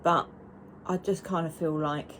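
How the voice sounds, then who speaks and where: A woman's voice: deep voice, steady tone, unhurried, a bit bright, slight background noise. A woman, chatting casually and rambling to camera as a knitting vlogger. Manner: chatty and rambling, with frequent restarts.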